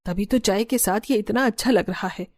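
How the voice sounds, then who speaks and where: A woman voicing an outspoken middle-aged mother-in-law in a cartoon story, blunt and fair, quick to scold. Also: medium pitch, darkish tone, lightly acted. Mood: pleased. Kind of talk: Hindi cartoon story dubbing.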